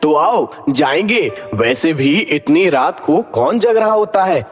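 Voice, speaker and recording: A man's voice: on the high side, lively intonation, slow pace. A man voicing a polite, cautious male cartoon ghost who poses as a family man. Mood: thoughtful. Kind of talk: animated Hindi story dialogue. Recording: long hall reverb, music underneath.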